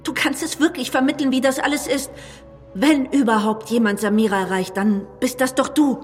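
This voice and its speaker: Actress doing an anxious pleading woman in a radio drama, high voice, big echoey hall, insistent. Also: normal speed, lightly acted. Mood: insistent, moved. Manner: insistent, emotional. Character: anxious, urgent woman pleading for her friend. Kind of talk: German radio play dialogue. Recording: long reverberant hall, music behind.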